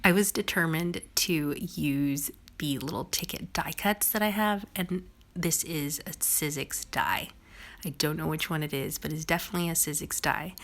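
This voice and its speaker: A young woman with a hoarse, raspy voice from a cold, chatting casually through a crafting video voice-over. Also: sits low, moderate pace, a bit bright. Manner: chatty, bubbly, lots of "like" and "um". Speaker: crafting vlogger.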